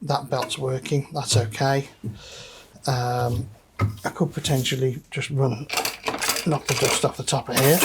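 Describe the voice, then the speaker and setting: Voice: mid-range voice, flat delivery, unhurried, vocal fry, background noise. A person giving casual hands-on repair commentary, thinking aloud while working at the bench. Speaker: presenter demonstrating equipment repair.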